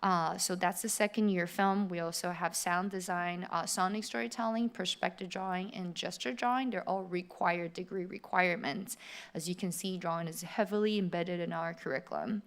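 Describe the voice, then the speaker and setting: A woman's voice: fairly low pitch, steady tone, moderate pace. A middle-aged woman from Taiwan giving an upbeat open house presentation in a theater, speaking directly to prospective students. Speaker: animation faculty presenter. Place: theater auditorium.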